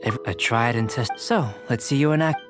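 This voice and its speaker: A man voices a young male alchemist from a video game, composed and scholarly. His middle-pitched voice is formal and precise. Music plays clearly behind him. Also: moderate pace, close to an everyday voice. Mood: calm, instructing. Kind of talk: video game character acting.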